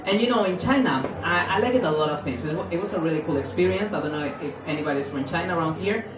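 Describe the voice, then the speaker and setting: Spanish man doing animated stand-up. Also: quick pace, background noise. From Spain. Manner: animated, playful, jumps into high mock voices for quotes. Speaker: stand-up comedian. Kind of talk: stand-up comedy set. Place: small comedy club stage, indoors. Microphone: handheld mic. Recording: roomy club sound from far off, audience laughing.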